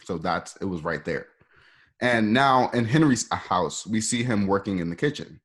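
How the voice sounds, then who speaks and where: A man's voice: fairly low pitch, lively intonation, moderate pace, husky. A man narrating screenplay action for a radio play in an informal, hesitant way, with conversational asides. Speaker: narrator reading stage directions.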